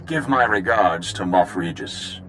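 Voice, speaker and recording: A man playing a grave, vengeful Republic commander in a video game, formal and deliberate. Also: low voice, expressive, a bit bright, a little hiss or hum, poor audio. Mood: grave, menacing. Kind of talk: video game cutscene acting.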